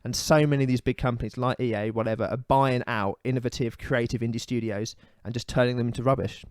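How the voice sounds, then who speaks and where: A man's voice: medium pitch, steady tone, fast, clear, resonant tone, no echo, clean studio sound. A British man co-hosting a podcast, chatting casually and giving emphatic opinions, often repeating himself as he complains. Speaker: podcast co-host. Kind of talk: casual opinionated gaming chat.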